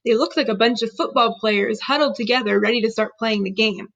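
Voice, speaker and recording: A woman reading a children's book aloud as an expressive storyteller. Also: fairly high pitch, a little fast, gravelly. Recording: dull lo-fi recording.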